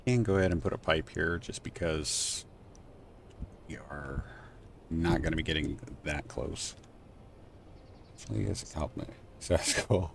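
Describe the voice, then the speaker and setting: A man streaming a game from home, thinking aloud and answering chat in a soft, unhurried voice. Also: gravelly, a little hiss or hum. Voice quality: low, soft, relaxed voice. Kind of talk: casual live-stream gameplay chat.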